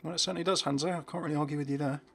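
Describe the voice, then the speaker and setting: A man's voice: on the high side, steady tone, very fast, gravelly, slight background noise. A man hosting a gaming video with casual, unscripted commentary in a chatty, slightly hesitant way as he reacts to what he sees.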